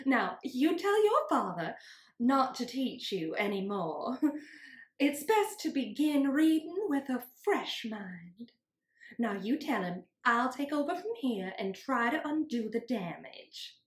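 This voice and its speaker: A woman's voice: a little high, very animated, slow, roomy sound. A woman playing a prim, condescending schoolteacher in a dramatic book reading, with a sugary, patronizing manner. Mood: condescending, firm.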